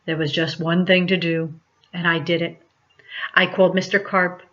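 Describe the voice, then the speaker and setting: A woman's voice: on the low side, flat delivery, normal speed, fairly bright tone, slight background noise. A woman reading a children's story aloud in a dramatic, emphatic storytelling manner, like a teacher to a class. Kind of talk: expressive picture-book read-aloud. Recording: dull lo-fi sound.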